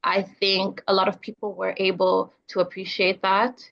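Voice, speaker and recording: A young adult woman from Zambia speaks as a podcast guest, with a medium-pitched voice. She talks earnestly and picks up energy when she recounts what others said to her. The recording sounds slightly dull and lo-fi. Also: flat delivery, moderate pace, vocal fry, fairly bright tone. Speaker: filmmaker guest. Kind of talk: podcast interview.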